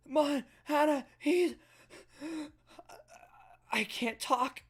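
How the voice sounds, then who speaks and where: Man acting out a shrill, panicked little boy. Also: animated, very slow, a bit bright, heavily acted. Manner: panicked, gasping, stammering cries. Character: terrified young boy, crying and pleading, manga character.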